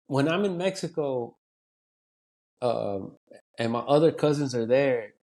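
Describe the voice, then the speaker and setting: A man's voice: mid-range voice, lively intonation, a little slow, dark tone. An American man chatting casually as a comedian guest, slangy and sweary, telling stories with quoted asides. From United States.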